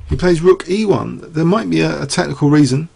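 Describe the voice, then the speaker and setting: Chess commentator, male, fairly high voice with a rough, raspy edge, thinking aloud, losing his voice. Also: brisk, darkish tone. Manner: rambling, thinking aloud with many fillers and rhetorical questions. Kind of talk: chess game analysis.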